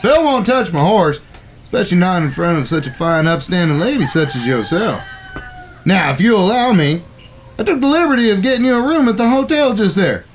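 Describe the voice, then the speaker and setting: A man plays an excitable cowboy kid brother in a Western radio play. His voice sits high and leaps around in a folksy drawl. There is clear noise behind him. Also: fairly quick. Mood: smug, gallant. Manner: folksy drawl with old West grammar. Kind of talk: Western audio drama acting and folksy narration.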